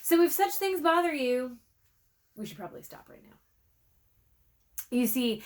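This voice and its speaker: A woman reading a storybook aloud in an expressive, dramatic way, letting her phrases drop into a hush. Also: mid-range voice. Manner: dramatic, with hushed phrase endings. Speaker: storybook reader. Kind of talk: expressive read-aloud narration.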